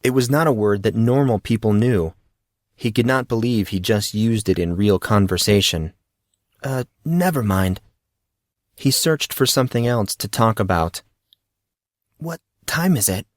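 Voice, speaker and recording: A man's voice: on the low side, normal speed, dry recording, clean studio sound. A man reading scripted fiction as a narrator of an audio story.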